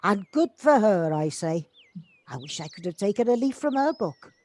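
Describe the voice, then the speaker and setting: A woman's voice: mid-range voice, very animated, moderate pace, a little mellow. Woman acting a kindly chatty neighbour lady, polite, bit reserved, voice goes up and down, amateur radio play. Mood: fond, admiring. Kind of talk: amateur audio drama acting. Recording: home-recorded on a personal device.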